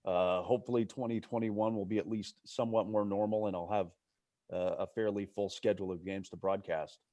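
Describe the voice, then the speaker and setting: Low-voiced man, dry close sound. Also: fairly even intonation, brisk, mellow, dry recording. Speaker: baseball play-by-play broadcaster as guest. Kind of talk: casual chat on a video call. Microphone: video call microphone.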